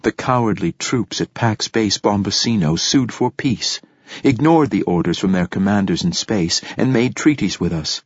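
A man voicing a battle-worn woman rebel soldier in a storybook, grave, deliberate and defiant. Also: on the low side, husky, no echo, lo-fi sound. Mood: grimly defiant.

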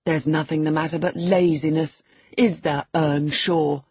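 A man's voice: little pitch movement, measured pace, darkish tone, slightly rough, no echo. A man doing a sneering, sickly teenage boy. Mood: taunting. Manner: high, taunting, giggly.

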